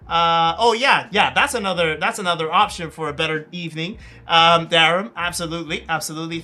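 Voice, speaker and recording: Bright-voiced man with a scratchy throat. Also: on the high side. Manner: chatty, reads and answers chat aloud. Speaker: sim racing streamer. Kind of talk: casual live-stream chat.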